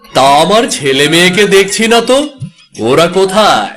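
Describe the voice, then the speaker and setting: Animated, high-pitched village father voiced by a man. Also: moderate pace, over music. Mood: cheerful. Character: genial village father and householder. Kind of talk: cartoon voice acting.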